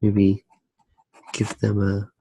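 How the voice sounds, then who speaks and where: Very low, slow, gravelly ASMR man. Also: completely flat delivery, a little hiss or hum, low-quality recording. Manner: hushed, unhurried, musing. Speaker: ASMR artist narrating a drawing. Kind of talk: soft-spoken ASMR drawing narration.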